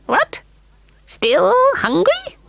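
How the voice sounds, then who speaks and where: A squeaky, sly little elfin man, put on by a woman reading a storybook, teasing in a high little voice that leaps up and down in pitch. He chuckles and talks quickly. The sound is close and dry. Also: huge pitch swings, vocal fry, dry recording, lo-fi sound, exaggerated voice.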